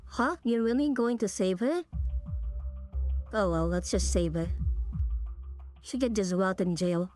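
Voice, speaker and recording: A woman's voice: medium pitch, lively intonation, a little slow, long pauses, darkish tone, lightly acted. A woman voicing a tough, sardonic sidekick in a video game, offhand and cocky in manner. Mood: wry, resigned. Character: sardonic, tough, nonchalant female sidekick fighter. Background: game music.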